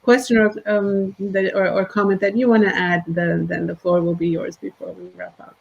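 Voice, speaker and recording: A woman's voice: medium pitch, level tone, moderate pace, vocal fry, husky, muffled recording. Woman moderating an audience Q&A. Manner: polite, reads out audience questions.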